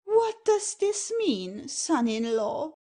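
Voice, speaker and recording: Snooty noblewoman, high voice, really drawn out, imperious, audio play comedy. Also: very animated, takes it slowly, mellow, heavily acted. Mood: affronted. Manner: imperious, affronted, grandly declamatory. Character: haughty noblewoman, proud strict mother-in-law.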